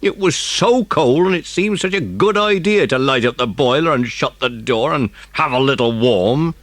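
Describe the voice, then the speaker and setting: A man's voice: medium pitch, lively intonation, normal speed, no echo, pitched above the performer's own voice, lightly acted. A man voicing a pompous, bullying village policeman in an audiobook story, blustering and overheated. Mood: exasperated groaning. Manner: blustering, shouting, grumbling.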